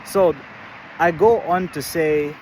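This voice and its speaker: There is a faint wash of urban outdoor noise around this man from Malawi. His voice sits a little higher than most men's. He explains things deliberately, in short phrases set apart by pauses. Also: a little mellow, rough recording. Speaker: musician. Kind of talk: explanatory talk to camera.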